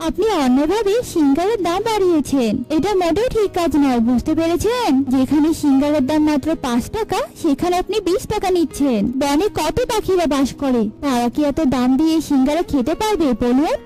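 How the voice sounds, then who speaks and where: A woman's voice: high voice, animated, brisk, hardly any pauses, warm tone, faint music behind, low-quality recording. A woman voicing a kind, principled mother bird in a children's cartoon, chatty, earnest and motherly. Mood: indignant.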